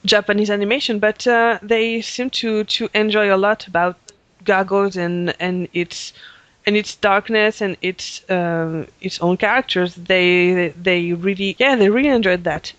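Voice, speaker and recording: A man's voice: high-pitched, steady tone, unhurried, fairly bright tone, smooth, dry recording. A man hosting a fan podcast, talking in a hesitant way with many fillers and frequent laughs. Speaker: podcast host. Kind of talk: casual chat.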